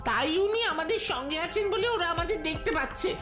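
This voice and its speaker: A woman as a very high-voiced boy sidekick. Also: animated, quick pace, over music. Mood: eager. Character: loyal, eager young boy sidekick. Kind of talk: cartoon dubbing.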